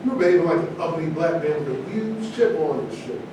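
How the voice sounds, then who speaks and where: A distant, noisy recording with heavy echo carries an actor as a provocative, argumentative man with a high voice. He declaims his opinions as in a stage play. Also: animated, fast, natural voice. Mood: combative. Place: echoey room at a live staged reading. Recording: distant mic, very echoey room, noisy rumble.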